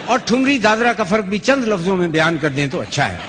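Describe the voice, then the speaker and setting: Man acting out humble male poet, Pakistani accent, earnest, courteous, high voice, echoey hall, comic reading. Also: sing-song, a little fast, fairly bright tone. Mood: polite, inquiring. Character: deferential, earnest male poet seeking advice. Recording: echoey hall, clear background noise.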